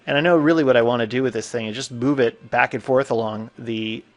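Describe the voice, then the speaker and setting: A man with a stuffy cold, teaching a software tutorial in a casual, thinking-aloud way with frequent fillers. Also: medium pitch, quick pace. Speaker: 3D animation instructor. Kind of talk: screen-recorded software tutorial.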